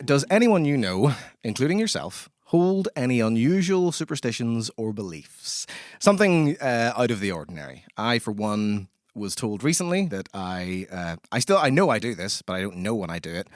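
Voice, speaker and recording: A man hosting a comedy podcast in a lively, theatrical, playful showman's manner. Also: mid-range voice, moderate pace, no echo, clean studio sound. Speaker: podcast host.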